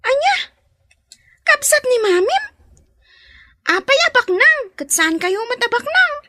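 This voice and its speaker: A woman voicing an excitable, nosy young girl in an Ilocano radio drama. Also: extremely high pitch, measured pace, no room sound, studio quality, exaggerated voice. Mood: surprised, teasing.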